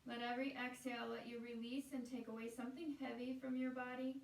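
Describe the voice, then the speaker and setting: A woman guiding a meditation as a teacher, speaking in a soothing, unhurried way with pauses between phrases. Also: on the high side, flat delivery, creaky, a little hiss or hum. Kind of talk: guided meditation. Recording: roomy echo, distant from the mic.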